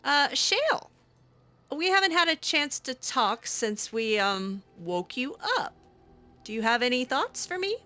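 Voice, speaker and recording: Young woman chatting through a game rp, bright voice, lots of ums, bouncy up and down pitch. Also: sing-song, measured pace. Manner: hesitant, lots of fillers, laughs easily. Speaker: let's-play roleplayer. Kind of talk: casual in-character game roleplay.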